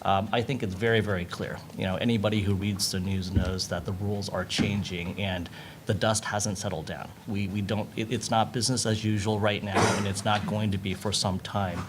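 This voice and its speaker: A man with an American accent giving unscripted panel remarks, halting and thinking aloud as he goes. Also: lowish voice, flat delivery, background noise. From United States. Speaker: panelist, lawyer.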